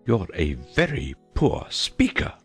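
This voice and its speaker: A man doing a grave, husky old king. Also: medium pitch, wildly swooping pitch, fairly bright tone, soft background music, full character voice. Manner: slow, grave pronouncements. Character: fretful, pompous old king presiding over a trial.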